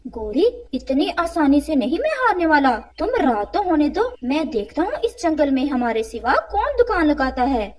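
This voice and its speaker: A woman's voice: high voice, sing-song, normal speed, few pauses, a little mellow. A woman voicing a boastful, spiteful male crow in a cartoon, with a defiant, bragging manner. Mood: vengeful.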